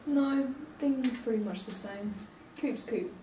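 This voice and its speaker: A woman acts out a sad, frustrated young woman in a drama script read. Her voice sits slightly high. It comes from off the mic in an echoey room with clear background noise. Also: unhurried, close to an everyday voice. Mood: hesitant, anxious. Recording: distant, noisy, echoey room recording.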